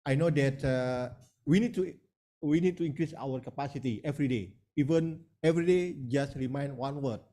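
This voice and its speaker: A man's voice: mid-range voice, normal speed, dark tone. A man giving feedback as a panelist in a webinar over a video call, hesitant, repeating words and adding many "yeah"s.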